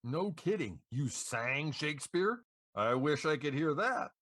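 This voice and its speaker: A man with a slightly high, animated voice and a dry sound. He hosts a podcast in a playful DJ manner, with scripted banter and introductions. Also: measured pace, dry recording. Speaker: podcast host.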